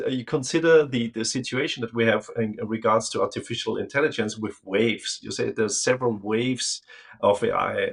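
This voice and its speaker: Low gravelly host guy, husky, lots of ums when asking stuff. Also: a bit bright. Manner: hesitant questions with fillers. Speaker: interview host. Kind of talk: business interview talk.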